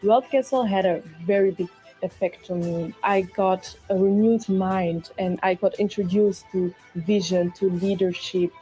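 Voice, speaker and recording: Warm-toned, upbeat young woman. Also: medium pitch, steady tone, a little slow, hardly any pauses, faint music behind, poor audio. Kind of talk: testimonial interview.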